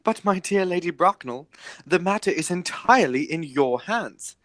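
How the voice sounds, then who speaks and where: A man playing an indignant, stubborn gentleman guardian in an amateur radio play, formal and emphatic in his delivery. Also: high-pitched, expressive, moderate pace, no echo. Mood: firm bargaining.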